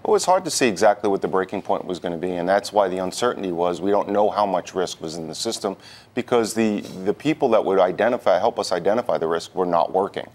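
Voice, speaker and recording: A man answering questions as a guest in a live TV news interview, speaking haltingly with repeated words. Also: low-pitched, expressive, a little mellow. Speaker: union president, interview guest.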